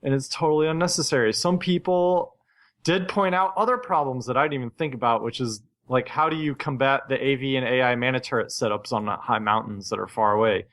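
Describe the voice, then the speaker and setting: An opinionated male podcast co-host with a mid-pitched voice. He talks casually about gaming and tends to argue his point like a rant. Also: fairly even intonation, fairly quick, a bit bright. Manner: opinionated, ranting.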